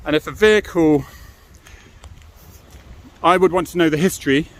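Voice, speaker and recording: A man's voice: on the high side, moderate pace. A middle-aged British man giving an informal, explanatory monologue as a former police officer, frank and matter-of-fact, often adding tag questions.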